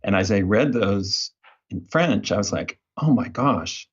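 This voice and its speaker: A man's voice: fairly low pitch, animated, measured pace, breathy edge, muffled recording. A man speaking as an interview guest, telling his story in a casual, anecdotal way. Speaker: guest, behavior design researcher.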